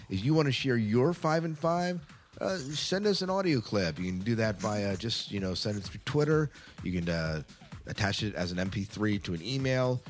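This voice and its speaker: Man, low-pitched, podcast host, chatty, self-correcting, faint music underneath. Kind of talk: casual podcast talk to listeners.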